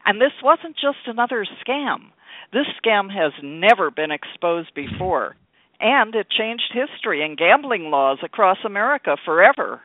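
A man's voice: high-pitched, big pitch swings, normal speed, no room sound. A man presenting a talk radio show as its host. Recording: narrow phone-like radio line, dry.

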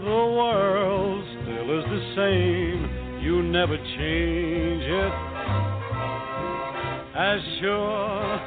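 A man crooning a slow song. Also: high voice. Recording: tinny phone-like music playback. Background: loud musical accompaniment.

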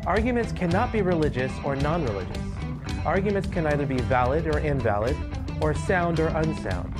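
Man, emphatic lecturer, slightly high pitch, guitar music playing loud behind him. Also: animated, a little fast. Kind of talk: recorded clip in a podcast intro.

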